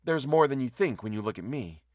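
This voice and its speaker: A man's voice: medium pitch, expressive, fairly quick, darkish tone, dry recording, studio quality, voice pushed up, natural voice. A man reading a story as an anxious, proud young woman, pleading and hesitant in manner. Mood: wounded pride. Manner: pleading, hesitant, breaking off mid-sentence. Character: anxious, proud jilted young woman on her wedding day.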